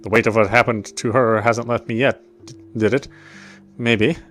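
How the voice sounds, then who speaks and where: A man's voice: lowish voice, fairly even intonation, moderate pace, a bit bright, faint music behind. A man reading visual novel narration aloud as a let's player, sometimes stumbling and rereading phrases.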